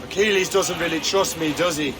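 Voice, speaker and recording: Casting: male actor as restless young Irish rookie assassin, slightly high-pitched, cheeky, for game cinematics. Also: fairly quick, lightly acted. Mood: wry, probing. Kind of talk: game cutscene voice acting.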